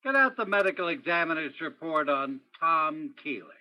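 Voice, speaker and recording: A man's voice: on the high side, sing-song, moderate pace, dull audio. A man playing a probing, wry police detective in an old-time radio crime play, with terse, hard-boiled questioning. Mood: businesslike. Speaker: student or guest stage actor in radio play.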